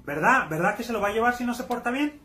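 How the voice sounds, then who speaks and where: A woman's voice: on the high side, lively intonation, quick pace, fairly bright tone, pitched below the performer's own voice. A woman voicing a menacing mother who mutters threats at a child.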